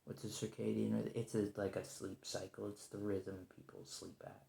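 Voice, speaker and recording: A man acting a stressed, weary captive special agent in an ASMR roleplay, rambling, halting and apologetic as he pleads, in a roomy recording. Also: fairly low pitch, monotone, vocal fry, dark tone. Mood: weary, sarcastic. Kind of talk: ASMR roleplay monologue, improvised conversational acting.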